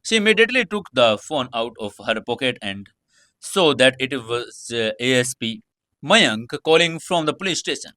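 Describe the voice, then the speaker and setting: A man's voice: mid-range voice, big pitch swings, moderate pace, sharp tone, no echo. A man narrating a dramatized story from a script, now and then stumbling over his words. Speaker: audiobook narrator.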